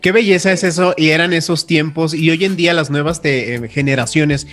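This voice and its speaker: A man's voice: fairly high pitch, fairly even intonation, brisk, a bit bright, faint music behind. A man, a radio host speaking reflectively and earnestly in a casual panel chat, with many restarts.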